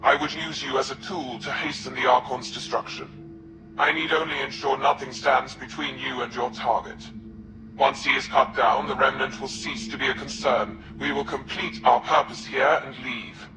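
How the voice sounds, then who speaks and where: A man's voice: mid-range voice, fairly even intonation, lightly acted. Echoing, imperious alien zealot acted by a man. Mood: haughty. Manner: formal, deliberate, menacing. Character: imperious alien military zealot, cold and scheming. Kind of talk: dramatic video game acting. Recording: echoing, with music behind.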